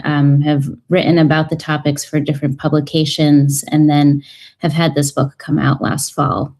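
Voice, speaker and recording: A woman's voice: sits low, a little mellow. A woman in her thirties, a cookbook author guest on a radio show, talking in a thoughtful way with many ums and uhs. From United States. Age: 30s. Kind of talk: radio interview.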